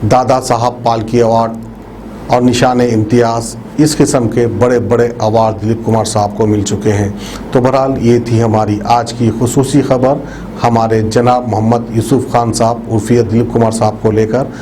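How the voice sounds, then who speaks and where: A man's voice: medium pitch, level tone, normal speed, warm tone, slight background noise. A man presenting a narrated news tribute to viewers, explaining things conversationally and repeating himself with habitual filler phrases. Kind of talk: narrated obituary tribute report addressed to viewers.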